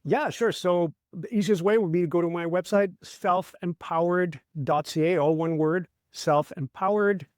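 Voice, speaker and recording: A man's voice: sits high, moderate pace, hardly any pauses, a little mellow, no room sound, studio quality. A middle-aged man from Chile, a martial artist and trainer, explaining ideas in a reflective way and often asking "right?". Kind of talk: conversational interview.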